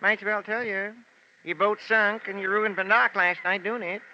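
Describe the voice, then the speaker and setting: Greedy beachcomber, folksy drawl; bright, thin old radio sound with hiss. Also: male voice, high voice, fairly even intonation, moderate pace. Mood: grudging. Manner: folksy, ungrammatical talk. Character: gruff, greedy male island beachcomber. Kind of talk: 1950s radio drama acting. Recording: dull old radio recording with faint hiss.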